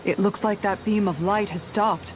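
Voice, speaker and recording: A woman's voice: mid-range voice, fairly even intonation, normal speed, slight background noise, natural voice. A woman playing a young, level-headed, observant adventurer in a fantasy video game cutscene. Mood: curious. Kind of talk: English dubbed video game cutscene acting.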